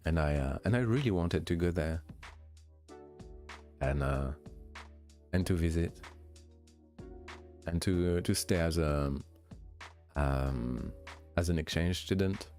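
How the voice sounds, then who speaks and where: A young man with a light French accent, a streamer chatting casually with viewers, relaxed and hesitant with many fillers and restarts. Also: low voice, takes it slowly, long pauses, faint music behind. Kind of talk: casual chat answering viewers.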